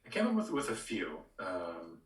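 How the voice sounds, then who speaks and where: A man co-hosting a casual discussion in a halting way, repeating words as he thinks. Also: low-pitched, huge pitch swings. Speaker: podcast co-host. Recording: roomy echo, distant from microphone.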